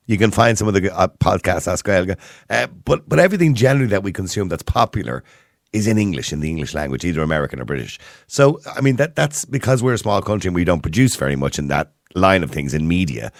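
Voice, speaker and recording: An Irish man hosting a radio show, chatting in an animated, easygoing way and laughing easily. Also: lowish voice, vocal fry, no echo, studio quality. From Ireland. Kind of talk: casual radio chat.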